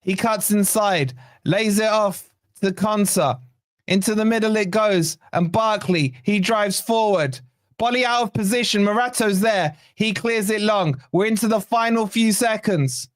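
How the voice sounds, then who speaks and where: Hoarse male fan host, high-pitched, excitable partisan live commentary, dry close mic. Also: a little slow, no room sound. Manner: excitable, swearing, partisan play-by-play. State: hoarse, losing his voice. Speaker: fan channel watch-along host.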